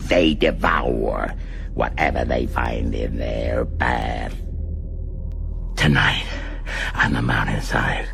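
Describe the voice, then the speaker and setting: A cold megalomaniac villain from a radio play, with a very low, creaky voice. He speaks formally and deliberately, with theatrical menace and sadistic relish. There is clear noise on the recording. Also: full character voice. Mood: coldly sadistic. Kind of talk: radio drama acting.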